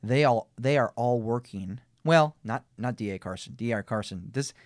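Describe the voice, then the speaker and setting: A man's voice: mid-range voice, moderate pace, dry recording, studio quality. A man hosting a debate podcast, laying out his argument emphatically step by step with frequent restarts. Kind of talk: debate conversation.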